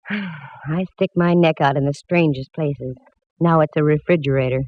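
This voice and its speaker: A woman's voice: deep voice, steady tone, no room sound. A wry hardboiled lady detective. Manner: wry, hardboiled, quick with quips. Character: wisecracking woman private eye, young adult. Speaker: narrator. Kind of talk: 1940s radio acting. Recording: dull old radio broadcast with faint music.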